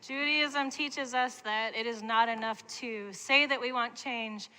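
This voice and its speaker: A woman leading a congregation in song, singing slow sustained lines and speaking gently between songs. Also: fairly high pitch, fairly even intonation, rough recording. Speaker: song leader. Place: synagogue sanctuary. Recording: singing over loud instrumental accompaniment.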